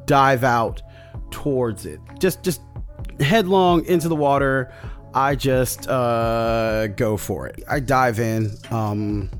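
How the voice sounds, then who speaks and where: Slightly hoarse man rambling a game recap. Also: medium pitch. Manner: rambling, lots of fillers and restarts. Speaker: podcast host recapping a tabletop campaign. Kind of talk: casual solo recap.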